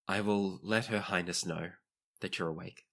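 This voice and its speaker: A man voicing a gentle, formal and deferential hospital attendant in a tabletop game. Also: low voice, fairly even intonation, moderate pace. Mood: polite, gentle. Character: courteous, gentle hospital attendant of a tall people.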